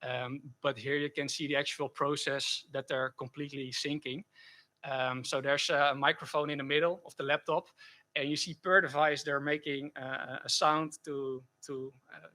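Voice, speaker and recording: A man giving a technical conference talk and answering questions, speaking haltingly with frequent self-corrections. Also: a little high, steady tone, sharp tone. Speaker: conference speaker presenting a project.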